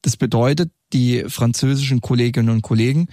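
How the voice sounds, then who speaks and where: A man's voice: mid-range voice, a little fast, warm tone, no room sound, studio quality. A man, a police group leader, giving explanatory answers as a guest in an interview. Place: studio.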